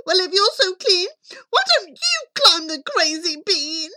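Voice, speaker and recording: A woman voicing an excitable, cheeky young boy in a fairy tale, breathless and pleading. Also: very high voice, a little slow, pitched above the performer's own voice, exaggerated voice.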